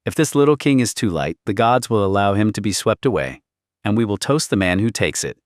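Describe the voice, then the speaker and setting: A grim old warrior voiced by a male narrator speaks like a weary, fierce patriarch who has seen it all. The pitch is fairly low and the audiobook sound is dry and close. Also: animated, a little fast, no echo, lightly acted. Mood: grim resignation.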